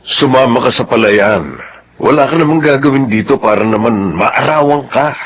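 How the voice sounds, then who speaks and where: An actor playing an easygoing, humble Filipino farmhand and loyal friend in a radio drama. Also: male voice, medium pitch, moderate pace, a little hiss or hum, lightly acted. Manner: good-natured, chuckling, humble.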